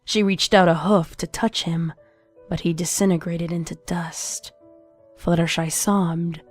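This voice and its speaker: A woman reading a scripted story as narrator, in a measured, suspenseful, dramatic storytelling manner. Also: on the low side, steady tone. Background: music.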